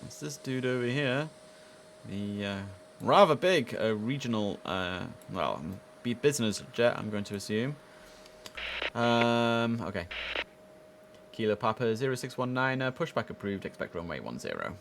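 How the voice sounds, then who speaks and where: A man's voice: mid-range voice, lively intonation, measured pace, noisy background. Male game streamer, informal and chatty, talking through tower control work over noticeable vehicle ambience. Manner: chatty, informal, thinks aloud. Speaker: streamer playing an air traffic control simulator. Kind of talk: casual live gameplay commentary.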